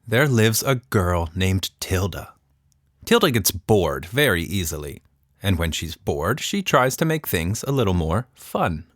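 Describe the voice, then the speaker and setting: A man hosting a children's podcast and telling stories in a playful, mock-serious, unhurried way. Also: on the low side, dry recording, studio quality. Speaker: podcast host and storyteller. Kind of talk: children's storytelling, casual and scripted.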